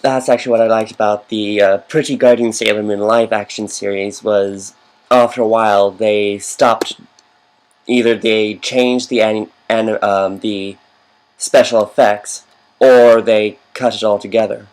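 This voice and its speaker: Low-voiced man rambling over a game. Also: steady tone, unhurried, darkish tone, poor audio. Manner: fannish, rambling asides. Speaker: game commentator. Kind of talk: casual rambling game commentary.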